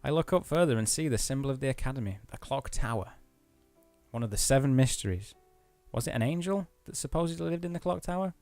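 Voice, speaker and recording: A British man of average pitch reads game text aloud and comments casually as a co-host. His delivery is very animated, recorded dry with light music behind. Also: fast talker, dry recording. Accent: British English. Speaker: let's play co-host.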